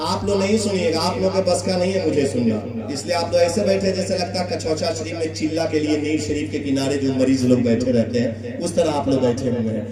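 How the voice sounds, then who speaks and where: A man from Bihar, India, singing Sufi devotional verse on a live stage and teasing the crowd in quick chatty asides between verses. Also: a little high, fairly even intonation. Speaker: devotional singer. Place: live stage at a shrine festival. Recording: echoey live sound from a distance, loud music.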